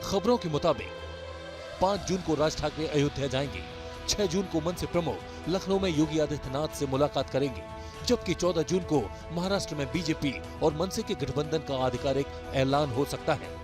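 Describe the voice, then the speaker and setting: A man delivers a dramatic scripted news voice-over. His pitch is on the high side for a man, and music plays clearly behind him. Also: moderate pace. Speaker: news report narrator. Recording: voice-over laid over loud music.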